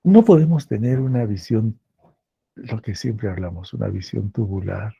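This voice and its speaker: A male professor with a Peruvian accent lectures slowly and deliberately, circling back over phrases to drive them home. His low voice is gravelly and husky, with a mellow, dark tone. It sits dry and close, with no room sound. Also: dry recording, dull audio. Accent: Peruvian Spanish. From Peru. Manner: slow, deliberate, repeats phrases for emphasis.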